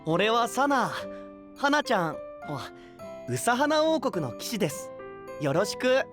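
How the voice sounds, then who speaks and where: A man's voice: high-pitched, big pitch swings, takes it slowly, heavily acted. An actor playing a cocky, easygoing young male knight in an anime-style voice drama, casual, slangy and theatrical. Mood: cheeky. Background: music.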